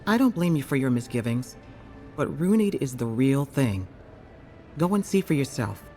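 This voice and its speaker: An actor voicing a caring, inquisitive healer in a video game, with a measured, advising manner. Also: male voice, mid-range voice, sing-song, darkish tone, soft background music, close to an everyday voice. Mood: reassuring, advising. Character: keen, caring clinic healer, intrigued by strange souls. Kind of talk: video game character dialogue.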